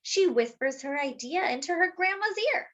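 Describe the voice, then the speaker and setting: A woman reading a picture book aloud to young children as a storytime host, expressively, with drawn-out words and little asides to her listeners. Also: high-pitched, expressive, muffled recording.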